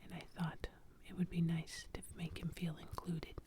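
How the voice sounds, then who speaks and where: A woman telling an improvised bedtime story in a soft ASMR whisper. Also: on the low side, flat delivery, normal speed.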